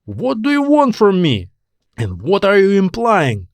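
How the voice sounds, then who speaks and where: A man's voice: high voice, wildly swooping pitch, a little slow, dry recording, voice pushed up, exaggerated voice. A man voicing an indignant, baffled man in an audiobook story, speaking in a challenging way. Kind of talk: audiobook character acting.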